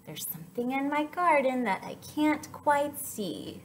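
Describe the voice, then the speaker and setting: Animated young woman with a high voice. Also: unhurried, slight background noise. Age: young adult. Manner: animated, sing-song, prompting listeners with questions. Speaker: children's library story time host. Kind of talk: children's story time, reading and chatting to young children.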